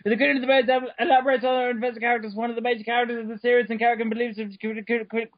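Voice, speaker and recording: A man giving an over-the-top dramatic reading, loud and fast, tripping over his words as he goes. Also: very high-pitched, little pitch movement, dry recording, highs cut off. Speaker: reader of a comic dramatic reading.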